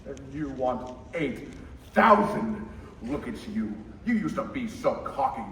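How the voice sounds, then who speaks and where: A man's voice: mid-range voice, big pitch swings, a bit bright. Young man playing sneering old banker, echoey stage. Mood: contemptuous. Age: teenager or young adult. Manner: sneering, pompous, deliberate. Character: cruel, gloating old male banker. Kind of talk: stage radio play acting. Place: school theater stage. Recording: echoey stage, distant mic, faint noise.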